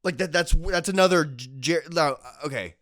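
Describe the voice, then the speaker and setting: A man in his twenties co-hosting a casual entertainment news chat, excitable and often repeating words as he restarts sentences. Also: a little high, normal speed, dry recording, clean studio sound. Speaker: co-host of a gaming and film news show.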